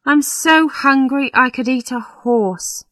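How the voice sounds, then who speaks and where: A woman's voice: high voice, takes it slowly, pushed and bright, no room sound, faint music behind, voice pushed up, heavily acted. A woman voicing a hungry, easy-going young bridegroom in a storybook, speaking plainly and bluntly. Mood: hungry, grumbling. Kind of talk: storybook character voice.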